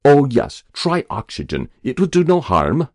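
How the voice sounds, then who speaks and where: A man voicing a grave senior doctor with guttural speech and a measured, condescending manner in a narrated story. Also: mid-range voice, huge pitch swings, no room sound, clean studio sound, pitched above the performer's own voice. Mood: resigned.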